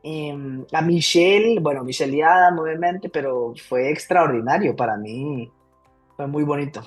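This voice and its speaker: A man in his thirties from Costa Rica, a comedian guest telling his life story in a casual, lively interview. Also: a little high, moderate pace, slightly rough, soft background music. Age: 30s.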